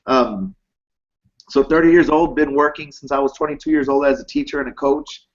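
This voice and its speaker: A thirty-year-old man speaks earnestly and reassuringly, pausing on fillers as he talks. His voice sits at a middle pitch. The sound is dull and lo-fi, as on a video call. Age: 30s. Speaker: basketball coach and teacher. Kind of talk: casual chat on a live video call.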